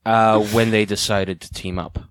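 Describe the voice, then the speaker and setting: A man co-hosting an improvised comedy podcast, speaking in a halting, hesitant way. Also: lowish voice, level tone, clear, resonant tone, dry recording. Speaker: podcast co-host.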